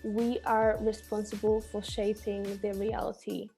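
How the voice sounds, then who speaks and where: A woman's voice: mid-range voice, level tone, a little slow, creaky, dark tone, faint music behind. A woman from Poland speaking in a confident, declarative way. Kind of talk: video testimonial.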